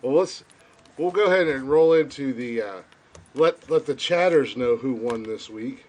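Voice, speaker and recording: A man of middle pitch who hosts a live-stream podcast. His voice is loud and carries over the others, and he laughs often as he chats. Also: moderate pace. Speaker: podcast host. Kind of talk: casual live-stream chat.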